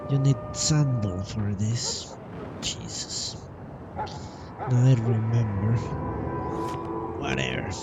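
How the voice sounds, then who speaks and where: Slow-talking man muttering over game music. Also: mid-range voice, creaky, recorded from a distance. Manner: muttering to himself, thinking aloud. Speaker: game streamer. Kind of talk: casual live gaming commentary. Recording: loud game music behind the voice. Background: loud video game music.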